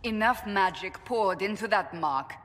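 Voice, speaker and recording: A woman's voice: medium pitch, expressive, unhurried, fairly bright tone, in a large hall. An actress playing a stern female warrior leader who speaks in a firm, clipped, matter-of-fact way.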